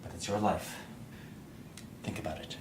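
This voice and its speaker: An actor playing a furtive doctor in an audio drama, speaking in a clinical, coaxing, hushed manner. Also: male voice, deep voice, steady tone, normal speed, noisy background, close to an everyday voice. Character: furtive, insinuating doctor offering illicit help. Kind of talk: understated audio drama acting.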